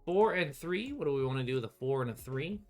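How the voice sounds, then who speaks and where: A man's voice: medium pitch, expressive, fast talker, faint music behind. Middle-aged man thinking aloud over a game. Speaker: solo tabletop game player and host. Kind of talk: casual play-through commentary, thinking aloud. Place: small indoor room with bookshelves.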